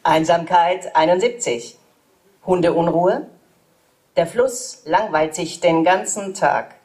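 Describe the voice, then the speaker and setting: A woman reading German translations of poems aloud in a measured, even way. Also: on the low side.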